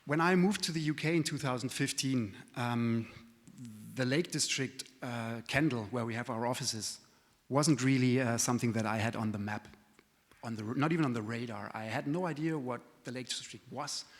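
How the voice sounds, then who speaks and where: A man's voice: mid-range voice, moderate pace. A man who is not a native English speaker gives a friendly, self-deprecating conference presentation, with frequent fillers and restarts. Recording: reverberant conference hall.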